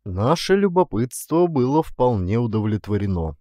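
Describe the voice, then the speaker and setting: A man narrating a literary story as an audiobook actor, with deliberate, dramatic phrasing and weighty pauses. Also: medium pitch, sing-song, dark tone, dry recording, studio quality.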